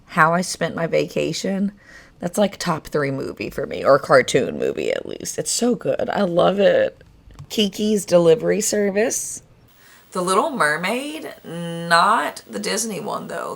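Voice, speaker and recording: A young woman with a raspy voice, chatting casually and gushing about her favorite movies, thinking aloud as she goes. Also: deep voice. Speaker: vlogger.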